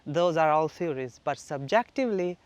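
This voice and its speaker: A man from Pakistan giving an earnest, reflective spoken teaching at home, his sentences often trailing off softly. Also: fairly high pitch, animated, moderate pace, dry recording. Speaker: spiritual teacher. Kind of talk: solo spoken teaching on manifestation. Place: flat.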